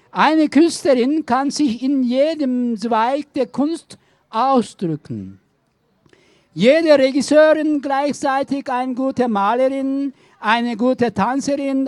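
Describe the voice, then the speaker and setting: A woman slowly reciting a poetic art manifesto in a declamatory, repetitive way. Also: high-pitched, a little mellow, no echo.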